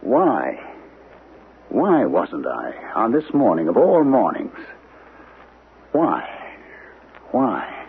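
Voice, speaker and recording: A haunted, brooding writer. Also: male voice, on the low side, wildly swooping pitch, drawn-out words, pauses often, slight background noise, exaggerated voice. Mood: troubled. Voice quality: brooding, repeats questions insistently. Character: anxious male author obsessed with his survival. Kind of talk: 1970s radio drama acting. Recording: tinny old radio broadcast with music.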